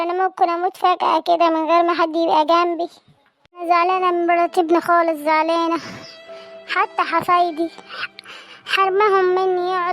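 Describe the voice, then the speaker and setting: A young woman with an Egyptian accent voicing a lonely, frail old grandmother who pleads mournfully in an amateur skit. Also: very high-pitched. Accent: Egyptian Arabic. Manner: mournful, pleading, calls everyone "my dear".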